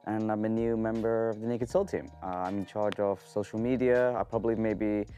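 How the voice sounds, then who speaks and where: A young man from the Netherlands with a Dutch accent, chatting on a podcast, hesitant with many fillers and quick laughs. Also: on the low side, steady tone, mellow, faint music behind. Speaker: social media team member. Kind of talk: casual podcast chat.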